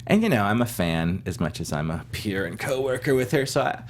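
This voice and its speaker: A middle-aged man from Southern California, talking casually as an actor guest in an interview, chatty and quick to laugh, with many restarts. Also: lowish voice, big pitch swings, slight background noise.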